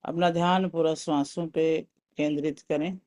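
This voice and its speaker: A man with an Indian accent guiding a meditation as a teacher, calmly giving short soothing instructions with pauses between them. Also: fairly high pitch.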